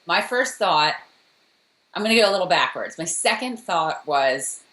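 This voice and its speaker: A Philadelphia-accented woman co-hosting a casual recap, jokey and playful. Her pitch swings widely as she talks. The room around her sounds noticeably echoey. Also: animated, moderate pace, fairly bright tone. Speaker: podcast co-host. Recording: roomy echo.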